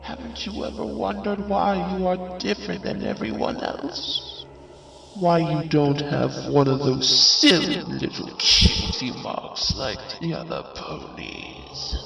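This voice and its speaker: A manipulative shadow pony mother in a cartoon fan dub, her voice creaky and slow with big dramatic swoops. She coaxes with sweet menace, and the sound is echoey and set back. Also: mid-range voice, sing-song, a bit bright, exaggerated voice. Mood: coaxing menace. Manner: slow, dramatic, coaxing delivery. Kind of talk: fan comic dub villain acting. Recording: echoey, distant, faint noise.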